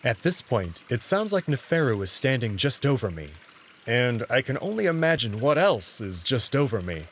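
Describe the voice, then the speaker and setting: A man's voice: medium pitch, sing-song, normal speed, a bit bright, a little hiss or hum. A man narrating a visual novel in the first person, reading it as a story.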